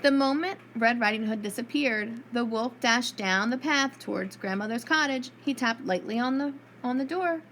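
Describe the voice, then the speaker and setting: A woman with a fairly high voice narrates a storybook for preschoolers. Her reading is expressive, like a storyteller's. There is clear noise behind her. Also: moderate pace, hardly any pauses. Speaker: preschool read-aloud storyteller.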